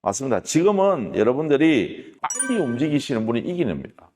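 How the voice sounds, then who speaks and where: A man's voice: mid-range voice, very animated, brisk, darkish tone, long reverb tail, a little hiss or hum. A middle-aged man with a stuffy nose from a cold, giving an emphatic lecture-style talk as a real estate auction mentor, full of rhetorical questions. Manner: emphatic, rhetorical questions, ends points with "that is what I mean".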